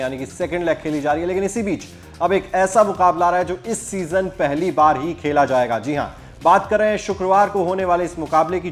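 A young man presenting a scripted cricket match preview to camera from a studio, in a brisk, fluent news style. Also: fairly high pitch. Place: studio with virtual backdrop.

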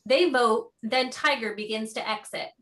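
Bright-voiced woman reading stage directions. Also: fairly high pitch, normal speed. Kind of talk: scripted narration.

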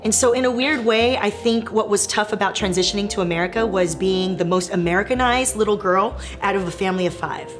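A woman's voice: mid-range voice, a little fast, hardly any pauses. Frank, funny woman comedian from Taiwan. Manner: frank, animated, self-deprecating humor. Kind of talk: documentary interview, candid storytelling. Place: dark comedy club, seated. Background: music.

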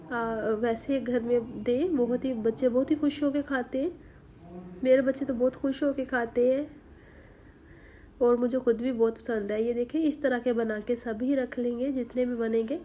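A hoarse woman talks through a home recipe in a homely, chatty way. Her voice sits somewhat high but stays flat and mellow. A faint hiss runs under the voice-over. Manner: homely, chatty instruction with many fillers. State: hoarse from a sore throat. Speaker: cooking channel host. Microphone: voice-over recorded separately.

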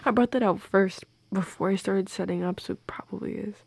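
A young woman in an intimate girlfriend audio roleplay, speaking softly and close to the mic with playful, teasing affection. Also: medium pitch, fairly quick, vocal fry. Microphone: close microphone, dry.

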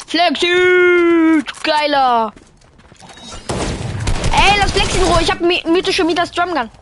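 A woman streaming a video game with friends, excitable, reading chat aloud and laughing often. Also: very high-pitched, unhurried, noisy background. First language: German. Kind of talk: casual live-stream gaming chat.

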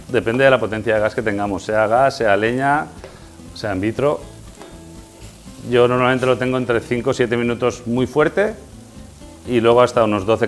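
A middle-aged man with an accent from Spain, explaining a cooking demonstration informally and instructively as a guest chef. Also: lowish voice. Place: indoors in a tiled kitchen set.

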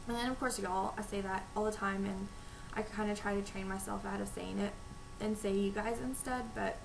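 Young North Texas woman, chatty vlogger, creaky voice, low-volume webcam mic with audible hiss. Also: steady tone, normal speed. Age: young adult. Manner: chatty, frequent ums. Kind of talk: casual talk to camera, reading a word list. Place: small room at home, indoors. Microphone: webcam microphone, low volume.

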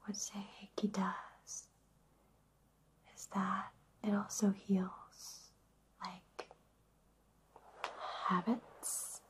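A woman whispering breathily in a soothing, reassuring way with long pauses, guiding an ASMR Reiki session. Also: medium pitch, little pitch movement. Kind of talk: whispered ASMR personal attention.

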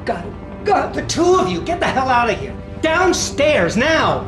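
A desperate parent speaks urgently in an audio drama. The voice is high and very animated, echoing over music. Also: female voice, measured pace. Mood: frantic, angry. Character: desperate parent ordering children to safety. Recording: echoey, with loud music behind.

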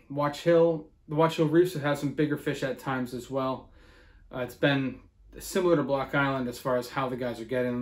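A man's voice: mid-range voice, roomy sound. A man in his thirties giving a conversational weekly fishing forecast from a living room, with plenty of fillers. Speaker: fishing report host.

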